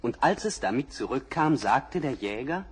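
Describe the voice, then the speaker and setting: A man's voice: medium pitch, very animated, normal speed, breathy edge. A man narrating a fairy tale for children, addressing the listeners directly, in a 1960s radio play. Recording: crackly 1960s vinyl record.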